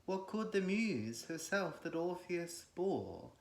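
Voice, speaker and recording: A man gives a solemn, declamatory, measured recitation of classical poetry. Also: high voice, very animated, creaky. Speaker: poetry reader. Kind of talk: dramatic recitation of classical verse. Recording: echoey room.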